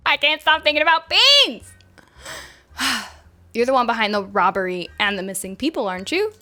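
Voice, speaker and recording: A high-voiced woman streamer reacts casually to a game, her pitch swinging wildly. She is expressive and loose-tongued, speaking in an unhurried way over clear game music. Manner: expressive, giggly, swears casually. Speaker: streamer, VTuber.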